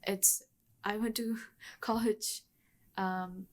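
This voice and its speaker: A woman's voice: mid-range voice, fairly even intonation, very slow. A woman of twenty-one, a university student answering interview questions in a casual, hesitant way, with many ums and restarts. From Bangladesh.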